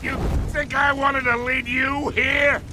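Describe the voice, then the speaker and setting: A man's voice: very high-pitched, flat delivery, slow pace. An actor playing a brash, hot-tempered male crime boss in a video game, blustering and combative. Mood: cornered, defiant. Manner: blustering, foul-mouthed, combative. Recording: very noisy game cutscene with gunfire.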